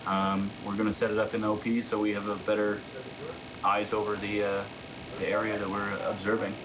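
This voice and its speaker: A soldier explaining a piece of equipment in a matter-of-fact way. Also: male voice, fairly low pitch, monotone, a little fast, noisy background.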